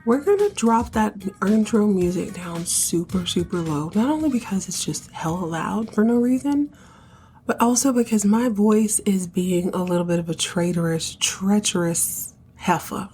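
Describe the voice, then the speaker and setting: A woman in her thirties from the United States hosting a soap opera recap podcast, speaking in a hushed, half-whispered way because she has partly lost her voice. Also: medium pitch, moderate pace, husky. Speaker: podcast host.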